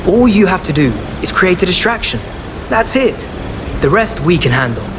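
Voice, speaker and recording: An actor playing a frank, cocky rogue brother in a video game. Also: male voice, high voice, very animated, normal speed. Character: blunt, cocky rogue brother, sidekick schemer. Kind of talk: game character dialogue. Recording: rain-like noise behind.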